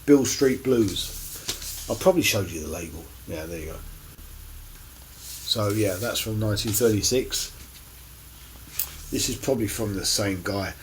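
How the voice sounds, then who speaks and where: A man giving a casual, unscripted show-and-tell to camera as a record collector, in a halting, rambling way with many pauses. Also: lowish voice, slight background noise, rough recording. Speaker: record collector presenting finds on his channel.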